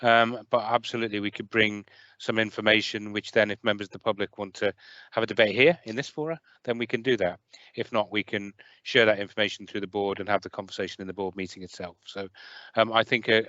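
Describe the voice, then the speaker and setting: A man with a lowish voice answers questions in an online meeting, hesitant and full of restarts. The call audio sounds dull and dry. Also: pushed and bright, no room sound. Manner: hesitant, many fillers and restarts. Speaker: health service manager. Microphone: video call.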